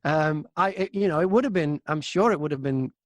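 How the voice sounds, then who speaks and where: A man's voice: fairly high pitch, fairly quick, dry recording, clean studio sound. A man who narrates audiobooks, chatting casually as an interview guest and acting out his thoughts and messages as he tells stories.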